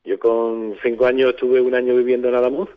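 A man, speaking reflectively as an interview guest, halting as he searches for words. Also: medium pitch, level tone, narrow, tinny audio. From southern Spain. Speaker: poet and songwriter guest.

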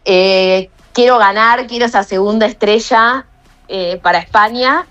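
A woman's voice: mid-range voice, a little slow, a bit bright, highs cut off. A middle-aged Argentine woman calling in to a radio show, talking emotionally and effusively from the heart. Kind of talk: heartfelt personal talk on a live radio call.

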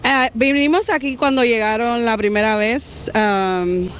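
A woman's voice: on the high side, a little slow, a little hiss or hum. A woman with a Puerto Rican accent, a football fan answering casually in a street interview. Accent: Puerto Rican Spanish. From Puerto Rico.

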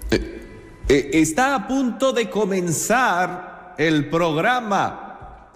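A man voicing a fussy, self-mocking vampire count who hosts a radio comedy show, with theatrical drawn-out words and a caricatured accent. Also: high-pitched, expressive, soft background music, full character voice. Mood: flustered. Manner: caricatured put-on accent that comes and goes. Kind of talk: theatrical comic monologue.

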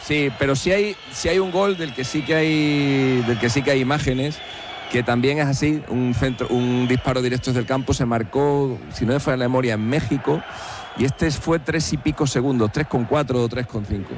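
A man with a Spain accent gives unscripted sports punditry in a middling-pitched voice. He halts and repeats phrases as he works through an explanation. Clear noise sits behind him. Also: normal speed. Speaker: football pundit and statistician on a radio sports show. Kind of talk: unscripted studio sports talk.

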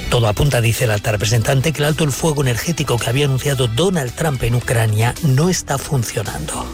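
Brisk male radio correspondent. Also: medium pitch, over music. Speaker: news correspondent. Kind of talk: scripted news report.

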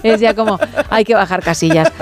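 A woman's voice: mid-range voice, fairly quick. A middle-aged woman with a Spain Spanish accent, hosting a casual late-night radio phone-in in a chatty, playful way. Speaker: radio host. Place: radio studio. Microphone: studio microphone.

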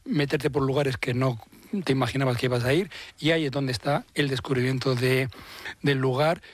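A man's voice: mid-range voice, fairly even intonation, brisk. A man contributing to a radio travel show, explaining his ideas in a thoughtful way. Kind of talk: radio conversation.

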